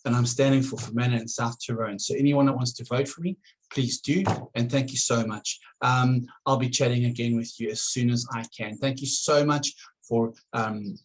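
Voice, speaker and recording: A man's voice: mid-range voice, steady tone, moderate pace, slight background noise, low-quality recording. A man who grew up in South Africa and still carries the accent speaks to voters in an earnest campaign video. He talks in a mid-range voice, often tripping over and repeating words as he starts a new point. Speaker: election candidate.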